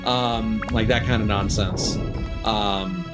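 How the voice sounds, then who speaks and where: A man commentating casually on a game livestream, rambling in halting asides with repeated words. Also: on the low side, steady tone, loud music behind the voice. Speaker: game streamer.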